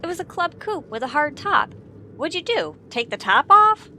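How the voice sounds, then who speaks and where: A woman's voice: high voice, big pitch swings, normal speed, sharp tone, slight background noise, full character voice. An actress playing a wry, wisecracking woman English teacher with dry, sardonic delivery in a 1950s radio sitcom. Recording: old radio broadcast recording.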